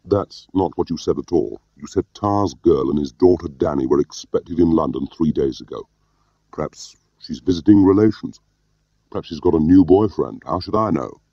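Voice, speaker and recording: A man's voice: rumbling low, expressive, moderate pace, husky, heavily acted. A defiant male spy as a man reads him in an audiobook answers with dry sarcasm and indignation. The sound is dead of room echo and a little dull. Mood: feigned resentment. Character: facetious, defiant male British spy under accusation.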